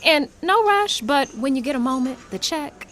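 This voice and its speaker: An actress playing a polite, awkwardly frank restaurant server in an audio comedy, hesitant and tactful. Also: female voice, high-pitched, animated, normal speed, fairly bright tone. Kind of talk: stage-comedy audio drama acting. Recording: faint restaurant ambience noise.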